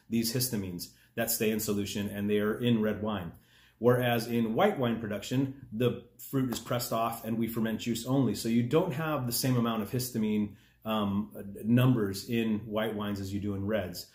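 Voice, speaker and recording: A man's voice: medium pitch, a little mellow. Friendly winemaker man in an echoey room. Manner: friendly, explains step by step with frequent restarts. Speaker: winemaker answering viewer questions. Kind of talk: casual explanatory chat. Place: dining room at a table, indoors.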